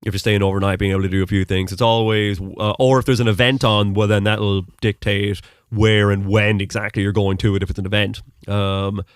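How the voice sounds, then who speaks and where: A man's voice: fairly low pitch, flat delivery, brisk, clean tone, dry recording, studio quality. A young Irish man with a fairly neutral accent that some hear as American, answering questions in a rambling, casual way with many ums. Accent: Irish with American-sounding notes. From Ireland, Mullingar, Westmeath. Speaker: podcast host being interviewed. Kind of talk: casual conversational interview.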